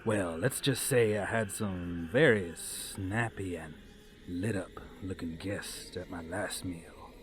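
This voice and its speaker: A man voicing a folksy, remorseless serial killer who boasts casually and with dark amusement in a horror monologue. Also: low voice, slow pace, background noise. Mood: smugly menacing.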